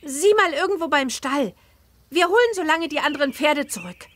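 A woman voicing a cheerful teenage girl witch in a children's game, speaking expressively and clearly. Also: high voice, expressive, moderate pace, fairly bright tone, a little hiss or hum. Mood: helpful, thoughtful. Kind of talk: scripted children's game dialogue.

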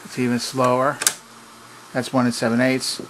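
A man with a mid-pitched voice gives a hands-on repair demonstration. He explains things methodically and haltingly, without a script. There is noticeable noise in the recording, made in an indoor home workshop. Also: completely flat delivery. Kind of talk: unscripted hands-on technical explanation.

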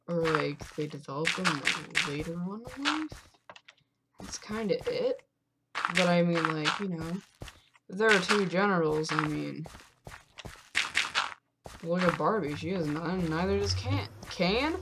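Young woman gamer, low voice, slow absurd rambling, heavy background noise. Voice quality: fairly low voice. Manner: absurd deadpan rambling, sudden loud outbursts. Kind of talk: rambling joking commentary while playing.

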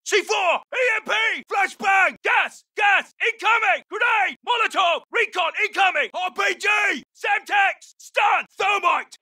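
A British soldier barks terse, clipped callouts in a military shooter game. His voice sits very high for a man and carries an urgent edge. The recording is dry and close. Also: unhurried, bright, forceful tone, no echo, shouting, heavily acted. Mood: shouting, alarmed. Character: British special forces soldier calling out in combat. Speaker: video game voice actor.

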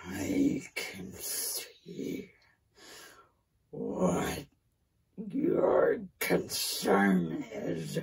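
A big grumbling forest monster from a made-up creature tale. The voice is extremely creaky and growled, lurching wildly up and down. Every word is dragged out very slowly, stubborn, puzzled and menacing. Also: female voice, low voice, huge pitch swings, darkish tone, full character voice. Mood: baffled, grumbling. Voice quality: gravelly, growling, whispery. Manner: ponderous, drawn-out, menacing. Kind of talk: improvised character story. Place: small plain room indoors.

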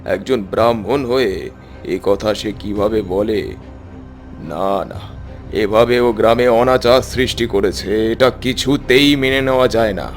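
An orthodox village pundit in a cartoon. He moralises with indignation in a fairly low voice. Soft music sits behind. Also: measured pace. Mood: indignant. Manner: outraged, moralising. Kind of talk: cartoon voice acting.

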